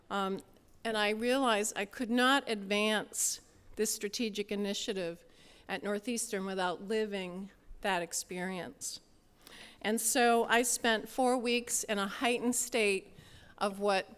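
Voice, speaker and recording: A woman with a smooth, clear voice of average pitch introduces a speaker in a measured, formal manner. Her words ring with the long reverb of a large hall. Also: fairly bright tone. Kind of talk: prepared conference introduction.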